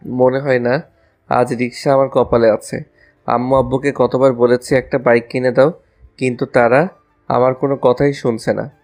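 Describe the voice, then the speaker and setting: A man's voice: mid-range voice, level tone, moderate pace, a little mellow, soft background music, close to an everyday voice. A man playing a lovestruck, casual young college student in a romantic audio story. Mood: grumbling.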